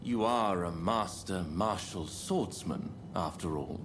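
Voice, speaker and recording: An actor playing a composed, persuasive diplomat in a video game, speaking politely and in measured phrases. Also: male voice, sits low, music in the background. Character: composed, persuasive diplomatic gentleman in feudal Japan. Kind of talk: video game cutscene acting.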